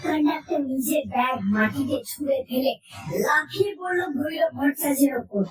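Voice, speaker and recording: A woman narrating a horror story in the first person, in a suspenseful, theatrical way with measured phrases. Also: high-pitched. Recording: echoey, distant, music underneath.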